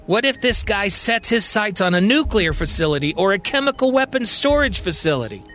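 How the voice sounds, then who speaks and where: A man's voice: high-pitched, very animated, a little fast, a bit bright, voice pushed up. A man voicing a grave, commanding male president in a sci-fi audio story, speaking with insistent authority. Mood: urgent. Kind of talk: acted dialogue in audio drama storytelling.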